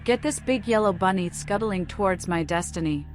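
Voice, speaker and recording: A woman's voice: medium pitch, moderate pace, over loud music, close to an everyday voice. A woman playing a mad female vampire in a video game, speaking in whimsical, oddly poetic riddles. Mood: eccentric. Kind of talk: video game character acting.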